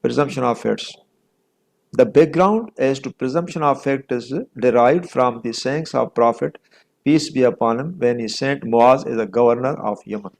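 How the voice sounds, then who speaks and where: A man's voice: mid-range voice, extremely slow, creaky. A middle-aged man with a Pakistani accent giving an explanatory law lecture, repeating points for emphasis and dropping in English legal terms.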